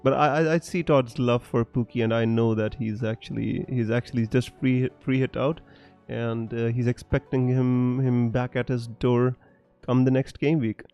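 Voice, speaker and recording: A young man from Punjab with a blended accent that is hard to place talks loosely through a live stream, reading out comments and restarting his sentences. His voice is warm and mellow, sitting in the middle of the male range. Faint music plays underneath. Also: medium pitch, steady tone, normal speed, hardly any pauses, highs cut off. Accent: mixed, hard to place. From Punjab, India. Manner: chatty, frequent fillers and restarts, laughs often. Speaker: fantasy football streamer.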